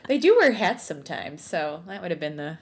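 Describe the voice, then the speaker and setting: Low-pitched woman podcast host. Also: sing-song, brisk, darkish tone, slightly rough. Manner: playful, wry asides. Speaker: podcast co-host reading fan fiction aloud. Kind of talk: casual comedic chat and read-aloud.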